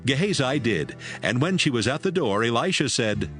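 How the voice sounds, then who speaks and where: A man's voice: medium pitch, very animated, normal speed, fairly bright tone, faint music behind. A man narrating a dramatized Bible reading as a scripted storyteller.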